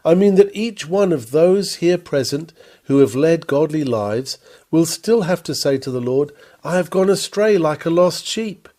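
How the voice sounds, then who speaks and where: A man reading an old-fashioned sermon aloud in an earnest, rhetorical manner with deliberate phrasing. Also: a little high, animated, warm tone. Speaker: sermon reader.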